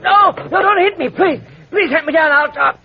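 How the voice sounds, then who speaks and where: Squeaky, creaky little crook. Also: male voice, normal speed. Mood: panicked, pleading. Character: nasty, cowardly little male crook, pleading. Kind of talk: 1940s radio drama acting. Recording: dull vintage radio recording.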